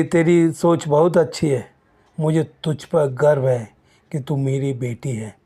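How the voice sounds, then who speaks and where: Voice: mid-range voice, a little mellow, lightly acted. A narrator voicing a proud, progressive older father in a storybook tale, earnest and declamatory. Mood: proud, approving.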